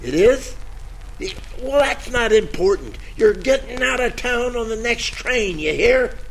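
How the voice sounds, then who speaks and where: A man as a gravelly wisecracking radio private eye. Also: very high-pitched, animated, measured pace, slight background noise, heavily acted, rough recording. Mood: flustered, insistent. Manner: hard-boiled, wry, folksy similes. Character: hard-luck wisecracking male private detective, bumbling tough guy. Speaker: narrator and lead character. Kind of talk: old-time radio detective acting, noir narration. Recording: music under the voice.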